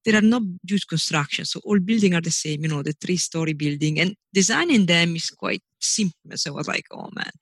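A woman's voice: on the low side, lively intonation, brisk, hardly any pauses, slightly rough, no room sound. A middle-aged woman from Italy speaks with an Italian accent, her answers loose and searching, full of restarts and "you know". She talks as an engineering professor chatting about her work. The sound is dry and close, with no room in it. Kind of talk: relaxed interview answers.

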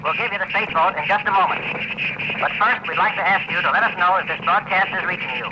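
A man announcing a news bulletin in a formal, measured 1920s radio style. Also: high-pitched. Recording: muffled, noisy old radio recording.

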